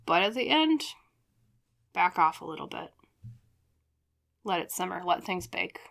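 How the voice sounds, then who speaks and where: Low-pitched woman giving a casual tarot reading. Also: sing-song, a little slow, vocal fry, fairly bright tone. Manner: rambling, reflective, addresses viewers directly. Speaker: tarot reader and channel host.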